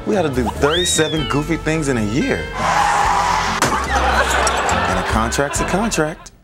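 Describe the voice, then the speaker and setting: A man's voice: fairly high pitch, very animated, brisk, loud music behind the voice. A man hosting with scripted, tongue-in-cheek narration in a mock-serious manner. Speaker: host of a football highlights video.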